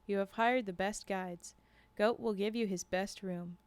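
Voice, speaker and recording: A woman's voice: mid-range voice, fairly even intonation, a little slow, clean tone, dry recording, natural voice. Woman voicing a solemn, sly male lodge keeper. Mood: mock solemn. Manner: solemn, clipped broken English. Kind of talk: storybook character reading.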